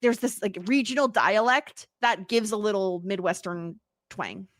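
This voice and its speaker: A woman in her thirties with an upstate New York accent, co-hosting a podcast in casual chat, bubbly, laughing often and saying "like" a lot, with a slight vocal fry. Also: medium pitch, measured pace, dry recording, studio quality. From United States. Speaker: podcast co-host.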